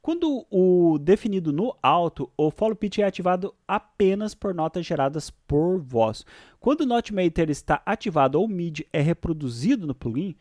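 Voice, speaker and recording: A man with a Brazilian accent presenting a software tutorial, switching between read-out explanations and casual asides. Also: high voice, dry recording, clean studio sound.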